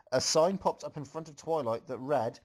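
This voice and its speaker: A man's voice: a little high, lively intonation, unhurried. Amused man reading a story aloud. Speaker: reader and host of a group fanfiction reading.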